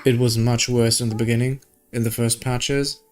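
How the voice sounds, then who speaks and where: Game streamer, medium-pitched voice, casual commentary, informal and grumbling, music in the background. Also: level tone, normal speed. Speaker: livestreamer playing a video game.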